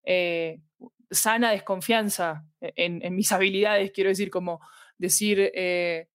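A woman's voice: fairly low pitch, fairly even intonation, slow pace, no echo, studio quality. A woman with a Latin American Spanish accent speaking as a podcast guest in a reflective, discursive way, often checking in with small tag questions. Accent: Latin American Spanish with voseo. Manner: thoughtful, discursive, seeks agreement with tag questions. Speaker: podcast guest, fiction podcast creator. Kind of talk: reflective conversational interview.

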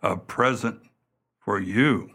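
A man acting as the ominous, husky voice of a mysterious note in a radio play. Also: fairly low pitch, takes it slowly, sharp tone, heavily acted.

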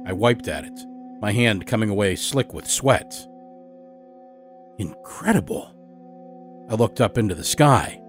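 A man telling a scripted first-person horror story as storyteller, dramatic and expressive. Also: on the low side. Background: musical score.

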